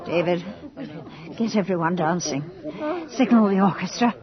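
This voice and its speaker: A woman's voice: lowish voice, moderate pace, a loud crowd, lightly acted. An actress playing a proud, imperious aging noblewoman in an old-time radio drama, with grand, formal, theatrical diction. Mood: defiant, commanding. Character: proud, imperious aging noblewoman hosting her last ball. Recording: dull old radio recording under music.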